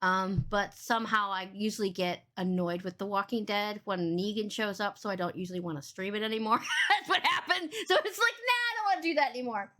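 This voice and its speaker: A woman hosting a casual livestream podcast, rambling cheerfully and swearing freely, with a big boomy voice. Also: brisk, hardly any pauses, bright, forceful tone. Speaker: podcast host and streamer.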